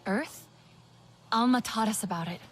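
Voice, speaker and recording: A woman's voice: on the high side, normal speed, close to an everyday voice. An actress playing an earnest, searching young alien woman in a video game, with a questioning manner. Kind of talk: dramatic video game acting.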